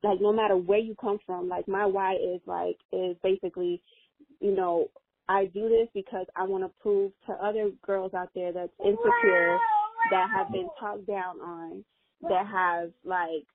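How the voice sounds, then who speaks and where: A woman's voice: mid-range voice, animated, measured pace. A young woman whose first language is Spanish, an interview guest talking earnestly in a casual, rambling way with many fillers. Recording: dry, tinny phone-like audio.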